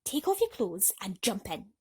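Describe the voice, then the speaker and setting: A woman voicing a sly, courteous and bossy male cat servant in a storybook, smooth-talking and commanding. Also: medium pitch, huge pitch swings, normal speed, mellow, heavily acted.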